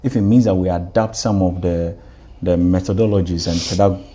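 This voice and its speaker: A talk show host speaks in a low, warm, mellow voice. He asks measured questions and answers his guest with short affirmations. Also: fairly even intonation. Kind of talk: interviewing a guest.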